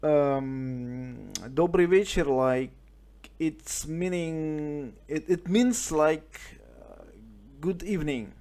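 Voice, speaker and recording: A man's voice: on the high side, very slow, darkish tone. A man from Russia with a Russian accent, chatting over amateur radio in hesitant English as he searches for words.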